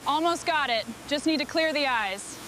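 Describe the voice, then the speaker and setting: A woman's voice: sits high, lively intonation, moderate pace, background noise. A woman muttering to herself and giving brief instructions while doing restoration work, in short bursts of work talk. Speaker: machinery restorer.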